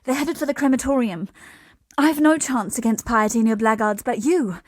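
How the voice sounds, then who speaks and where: An actress playing a grieving young woman exile in a video game, speaking in an earnest, subdued, halting way. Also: fairly high pitch, no echo, studio quality, natural voice. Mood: urgent, pleading. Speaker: game non-player character. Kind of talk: dramatic video game voice acting.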